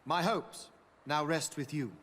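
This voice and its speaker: An actor playing an eloquent, calculating imperial consul, speaking in a stately, formal, theatrical manner for a video game. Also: male voice, on the high side, a bit bright. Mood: solemn, rousing. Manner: stately, eloquent, measured oratory. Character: smooth, regal adult male imperial consul, eloquent and calculating.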